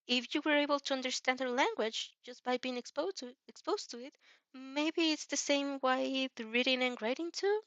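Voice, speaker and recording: Woman voicing an earnest, high-pitched teenage boy. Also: moderate pace, a bit bright, no room sound, muffled recording. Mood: worried, helpful. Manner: stammering, eager, reassuring. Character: earnest, helpful, nervous teenage boy student.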